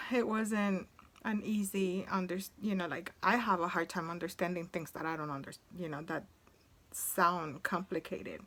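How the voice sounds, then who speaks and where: A woman's voice: fairly low pitch, fairly even intonation, unhurried, fairly bright tone. A woman in her forties, a native Spanish speaker, chatting casually in a rambling vlog about her sewing tools, with many restarts along the way. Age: 40s. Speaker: sewing vlogger.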